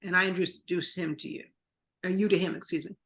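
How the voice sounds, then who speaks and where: A woman's voice: on the low side, steady tone, fairly quick, a bit bright. Chatty woman telling long stories. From New York. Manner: chatty, rambling anecdotes with quoted dialogue. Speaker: guest. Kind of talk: interview storytelling.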